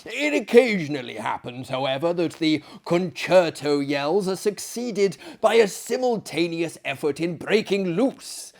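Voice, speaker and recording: A man acts out an excitable asylum director in a storybook tale. He blusters and emphasizes his words theatrically, quick to take offence yet genial as a host, taking his time over his phrases in a raised voice. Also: on the high side. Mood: breezy, reassuring.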